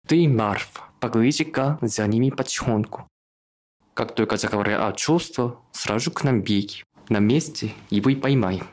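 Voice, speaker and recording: A man's voice: medium pitch, normal speed, lightly acted. A man acting an indignant, scheming father in a radio play, speaking emphatically. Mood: scheming, eager.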